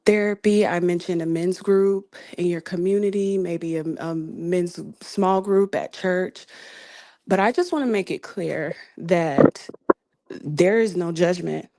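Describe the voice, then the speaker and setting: Calm woman host, lowish soft voice, reassuring, hesitant pauses. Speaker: podcast host and relationship coach. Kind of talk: calm advice-giving talk.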